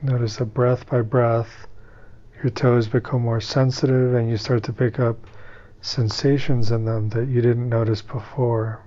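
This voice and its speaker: Calm guy doing guided meditation, soft mellow tone, pretty monotone, leaves long gaps, bit muffled audio. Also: medium pitch. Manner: calm, unhurried, soothing, with long pauses. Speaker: meditation teacher. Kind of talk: guided meditation, slow instructional speech.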